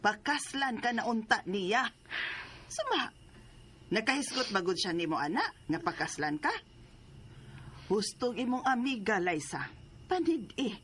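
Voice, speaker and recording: Plain-spoken older mother, fairly high pitch, admonishing, Cebuano Filipino accent, radio drama, faint ambient noise. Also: very animated, a little slow, pauses often, fairly bright tone. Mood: admonishing, concerned.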